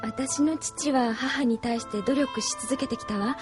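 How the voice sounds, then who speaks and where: A woman's voice: on the high side, unhurried, over music, lightly acted. An actress playing a troubled, wistful young woman in an anime audio drama, reflective, emotional and self-reproaching. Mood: wistful, resigned.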